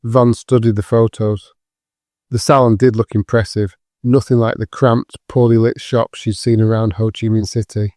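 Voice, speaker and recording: A man's voice: lowish voice, flat delivery, normal speed, darkish tone, no room sound, clean studio sound. A man reading scripted narration for an audio drama.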